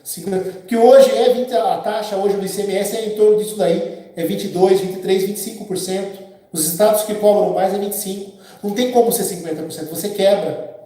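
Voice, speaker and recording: A man's voice: high-pitched, dark tone. A man, hosting a casual live stream and chatting with viewers by name in a rambling, opinionated way. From Brazil. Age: 50s. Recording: very echoey room.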